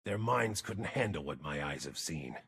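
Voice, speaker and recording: A very husky, gravelly game mage, weary and brooding. His grim, terse lines swing widely in pitch and ring with long reverb. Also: animated, moderate pace, a bit bright, exaggerated voice. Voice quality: raspy, gravelly. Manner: terse, grim, theatrical delivery. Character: weary, gruff, brooding wandering mage guarding dangerous runes. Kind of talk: video game character voice lines.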